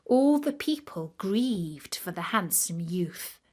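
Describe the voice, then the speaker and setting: A woman narrating a scripted fairy tale for children in a careful, expressive manner. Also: medium pitch, slow. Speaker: storyteller.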